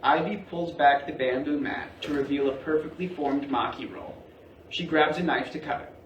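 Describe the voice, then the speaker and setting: A man narrates stage directions for a play reading. His voice is on the high side for a man, picked up from a distance in a very echoey room with a little background noise. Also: steady tone, moderate pace, dull audio.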